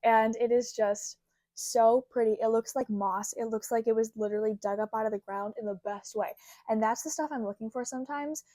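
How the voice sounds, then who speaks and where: A woman's voice: a little high, steady tone, brisk, warm tone, no echo. A young woman vlogging about her craft in a casual, bubbly, rambling way, her voice a little worn from a recent cold. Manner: bubbly and rambling, heavy use of "like". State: getting over a cold, recovering from a sore throat. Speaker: crochet content creator. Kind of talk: casual chatty vlog. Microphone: small clip-on mic.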